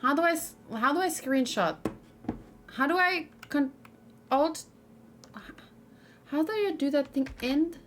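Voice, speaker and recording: A woman streams a video game with casual commentary, thinking aloud to her viewers. Her voice sits fairly high and she takes her time between thoughts. Faint game music plays behind her. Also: expressive, measured pace, long pauses. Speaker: livestreamer playing a video game. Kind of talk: casual let's play commentary, chatting to viewers. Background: game music, typing.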